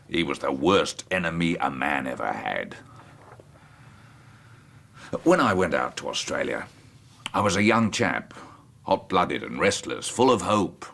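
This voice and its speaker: An actor in a radio drama playing a gruff, ailing, bitter old man with a gravelly, weary, deliberate delivery. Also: extremely low pitch, big pitch swings, a bit bright, heavily acted. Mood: bitter, resigned. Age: older.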